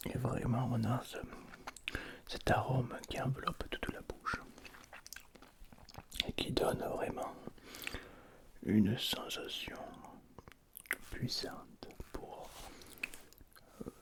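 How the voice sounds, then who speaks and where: A man hosting an ASMR tasting in a casual, hushed, low voice. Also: fairly even intonation, drawn-out words, vocal fry, long pauses. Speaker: ASMR video host. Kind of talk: whispered ASMR food tasting.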